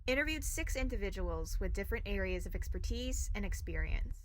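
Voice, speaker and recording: A woman narrating an audio documentary from a script in an even, read-aloud way. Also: mid-range voice, brisk, a little hiss or hum.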